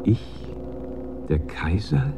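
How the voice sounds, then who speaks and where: Creaky-voiced emperor in solemn recitation. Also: male voice, lowish voice, big pitch swings, drawn-out words, dull audio, very noisy, exaggerated voice. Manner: formal, ceremonial declamation. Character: young emperor formally reciting a decree, submissive. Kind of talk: German radio drama acting.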